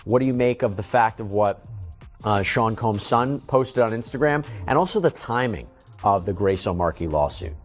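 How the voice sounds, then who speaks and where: A man's voice: lowish voice, expressive, moderate pace. Male news presenter over faint music. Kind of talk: news commentary and interviewing.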